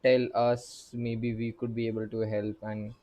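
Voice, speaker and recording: A man's voice: fairly low pitch, completely flat delivery, a little slow, mellow, a little hiss or hum. A man giving casual game commentary as a player, hesitant and searching for his words. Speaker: gaming streamer reacting to a playthrough.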